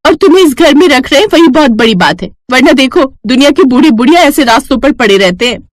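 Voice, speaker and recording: A woman voicing a haughty, scornful young daughter-in-law in a cartoon story, shrill and taunting. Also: expressive, fast talker, dry recording.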